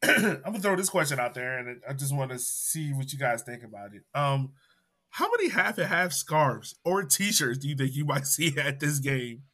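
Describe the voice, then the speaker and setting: A man hosting a casual podcast, easygoing and relaxed as he throws out questions. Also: medium pitch, moderate pace. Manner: easygoing, laid-back host who throws out questions. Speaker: podcast host.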